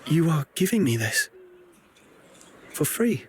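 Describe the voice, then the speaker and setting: Earnest, fairly high-voiced game hero. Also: male voice, very animated, takes it slowly, husky, full character voice. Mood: surprised, sincere. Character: earnest, reserved, cautious young man, game hero. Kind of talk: video game voice acting.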